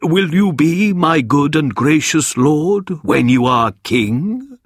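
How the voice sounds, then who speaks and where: A man voicing a kindly, feeble old knight in a storybook reading, speaking gently and very slowly. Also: on the high side, expressive, no room sound, clean studio sound, pitched above the performer's own voice. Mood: humble. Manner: gentle, slow, earnest.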